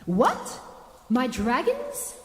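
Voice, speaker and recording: A woman's voice: high voice, huge pitch swings, exaggerated voice. A woman playing a proud, stern captive mother of dragons in a comedic audio drama, speaking formally. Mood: determined, skeptical. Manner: formal, solemn, deliberate. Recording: echoey, long reverb.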